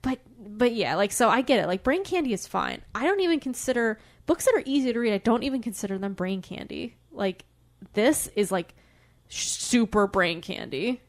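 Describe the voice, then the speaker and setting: A woman's voice: fairly high pitch, sing-song, moderate pace. A young woman co-hosting a podcast in casual, giggly banter, talking in a chatty and emphatic way. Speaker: podcast co-host. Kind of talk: casual book-chat banter.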